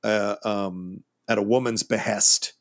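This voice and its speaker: A man co-hosting a casual film podcast, animated and emphatic, laughing along as he talks. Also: on the low side, slow pace. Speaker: podcast co-host.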